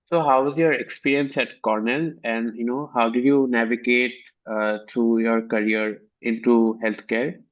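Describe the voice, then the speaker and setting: A man is hosting a conversational interview. His voice sits at an average pitch and his delivery is halting and hesitant. The audio is dull and muffled, as if recorded on basic equipment. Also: steady tone. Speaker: interview show host.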